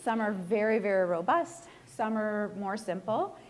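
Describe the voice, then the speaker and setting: A woman real estate agent giving a casual live presentation to a room, hoarse and close to losing her voice, chatty and self-deprecating as she puts questions to the audience. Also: mid-range voice, measured pace.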